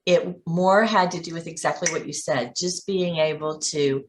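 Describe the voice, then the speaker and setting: A woman's voice: low-pitched, normal speed, a little hiss or hum. A woman hosting an interview in an upbeat, encouraging way. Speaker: host, sales director. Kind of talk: casual interview.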